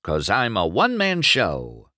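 A man's voice: fairly low pitch, huge pitch swings, measured pace, bright, forceful tone, no room sound, clean studio sound, voice pushed up, full character voice. A man voicing a cocky, self-centred young male race car with a smug, sarcastic way of talking.

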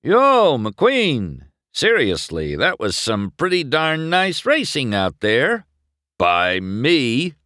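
A man's voice: mid-range voice, wildly swooping pitch, slow pace, sharp tone, no echo, studio quality, pitched above the performer's own voice, exaggerated voice. Man doing a brash, gloating race car rival. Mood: gloating, mocking. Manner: boastful, mocking.

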